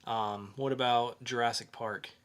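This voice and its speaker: Bright-voiced man chatting through geek news. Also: mid-range voice, steady tone, measured pace. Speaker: podcast co-host reading entertainment news. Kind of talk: casual geek news chat.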